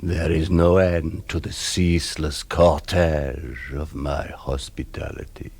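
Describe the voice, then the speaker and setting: Ancient vampire count, extremely deep and gravelly. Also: male voice, flat delivery, slow pace, darkish tone, full character voice. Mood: gloating, mournful. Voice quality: gravelly, husky. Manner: ornate, grandiose, savouring speech. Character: ancient aristocratic male vampire count, gloating and mournful. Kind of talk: 1970s radio drama acting.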